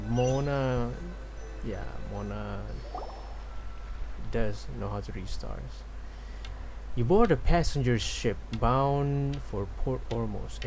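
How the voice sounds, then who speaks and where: Laid-back male game streamer over music. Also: medium pitch. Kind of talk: casual livestream commentary, reading game dialogue aloud.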